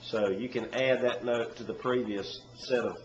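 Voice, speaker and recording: A man's voice: mid-range voice, over loud music. Stuffy-nosed man teaching banjo. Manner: relaxed, rambling, with many "uh" pauses. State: stuffy nose from a summer cold. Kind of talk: casual instructional talk. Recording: echoey room with dull, lo-fi sound. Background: loud banjo playing.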